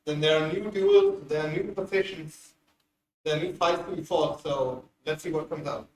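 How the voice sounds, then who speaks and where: A man's voice: on the high side, sing-song. Man on a distant mic. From Bavaria, Germany. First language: German. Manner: measured, analytical, easygoing. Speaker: co-commentator. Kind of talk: live game commentary. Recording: roomy, distant mic with hiss.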